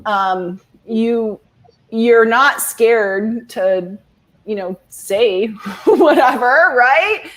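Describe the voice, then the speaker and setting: A woman's voice: fairly high pitch, drawn-out words, fairly bright tone. Rambling woman in her forties. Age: 40s. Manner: rambling, many restarts and fillers. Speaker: guest author. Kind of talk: casual video-call chat.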